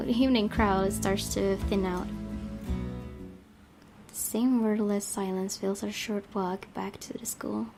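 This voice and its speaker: Creaky-voiced woman reading a game aloud. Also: medium pitch. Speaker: let's play host. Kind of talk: reading a visual novel aloud with casual commentary. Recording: game music behind the voice.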